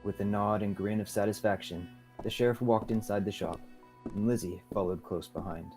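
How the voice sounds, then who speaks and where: Young man, scripted radio drama narration, somewhat low, warm dark tone, faint music. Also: steady tone, normal speed, poor audio. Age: young adult.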